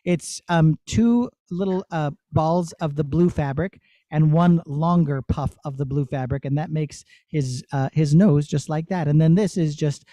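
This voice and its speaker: A man's voice: on the high side, unhurried, dark tone, no room sound. A middle-aged man, a puppeteer, casually explaining his craft to children. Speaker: puppeteer teaching a master class.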